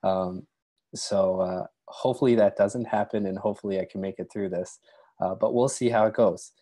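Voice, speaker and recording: Brief: young man, low mellow voice, fighting a cold, relaxed webinar moderator, earbud mic at home. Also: moderate pace. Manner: easygoing, many ums and uhs. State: has a cold, near losing his voice. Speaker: doctoral candidate, webinar moderator. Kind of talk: casual webinar talk. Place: small room at home, indoors. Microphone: earbud headset mic, video call.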